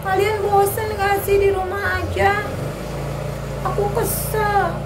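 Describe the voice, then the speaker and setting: A woman's voice: very high-pitched, little pitch movement, takes it slowly, voice pushed up, exaggerated voice. A woman voicing a whiny, bored little girl character in a children's Sunday school skit, with a pouty, complaining delivery. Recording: clear background noise.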